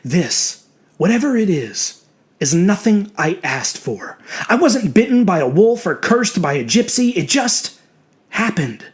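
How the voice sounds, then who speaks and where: A man's voice: high-pitched, sing-song, unhurried, pitched above the performer's own voice. A man voicing a petulant, self-righteous small-town reverend with a beast inside, preaching his own excuses in an indignant, brooding way for a horror audio drama. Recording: music behind the voice.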